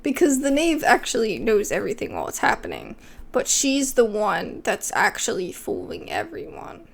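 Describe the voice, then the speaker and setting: A woman's voice: a little high, wildly swooping pitch, normal speed, creaky. A woman giving casual gameplay commentary as a video creator. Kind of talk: casual gameplay commentary, also reading dialogue aloud.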